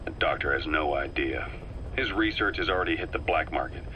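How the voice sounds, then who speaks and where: A shrewd intelligence officer from a war game, speaking in a deep voice with a bright, forceful edge. His delivery is measured and persuasive, with a dry sardonic streak. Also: expressive, a little hiss or hum, exaggerated voice, rough recording. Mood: analytical. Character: shrewd male intelligence officer, calm and knowing. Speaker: advisor on a recorded tape. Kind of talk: video game voice acting.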